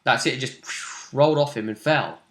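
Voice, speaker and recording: A man's voice: mid-range voice, moderate pace, fairly bright tone. A man chatting casually and animatedly as a game master, retelling a tabletop session and acting out reactions. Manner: chatty storytelling, acts out players' reactions. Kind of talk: casual animated chat recounting game events. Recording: roomy, echoey home recording.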